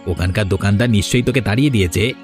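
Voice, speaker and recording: A man's voice: on the low side, very fast, faint music behind, lightly acted. A man voicing a scheming village council bully, mocking and threatening, in a cartoon. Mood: gloating, mocking. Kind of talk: Bengali moral-story cartoon dubbing.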